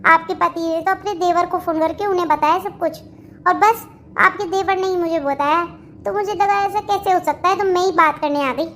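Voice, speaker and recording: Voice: very high-pitched, fairly even intonation, normal speed, a bit bright, slight background noise. A performer voicing a gossipy, two-faced young sister-in-law in a family cartoon, chatty and placating. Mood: probing. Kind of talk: Hindi family comedy cartoon dialogue.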